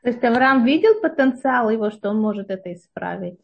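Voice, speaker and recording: Husky woman on a dull, lo-fi line. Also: a little high, lively intonation, fairly quick. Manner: short agreeing replies and quiet backchannels.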